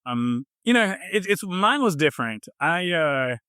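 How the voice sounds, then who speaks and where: A man with a Southern US accent telling his personal story in a casual interview, informal and a little halting in his phrasing. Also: fairly high pitch, big pitch swings, bright, forceful tone, clean tone, no room sound, studio quality. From southern United States. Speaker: health coach, podcast guest.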